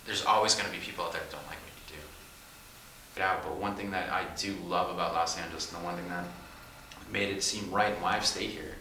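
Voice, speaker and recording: Reflective male artist, low voice, sharp tone, distant roomy sound. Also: fairly even intonation, brisk, slight background noise. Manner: thoughtful, reflective. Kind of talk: reflective interview.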